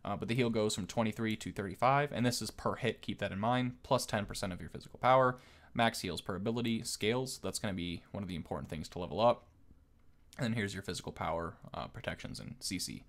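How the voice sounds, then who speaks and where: A man's voice: fairly low pitch, fast talker. A man giving casual game commentary as a video host, explaining things in a conversational way.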